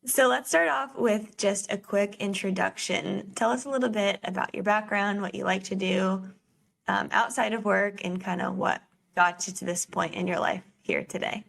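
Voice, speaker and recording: A woman's voice: mid-range voice, normal speed, creaky, fairly bright tone. A woman hosting a casual finance podcast, upbeat and welcoming as she chats with a guest. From Florida. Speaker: podcast host.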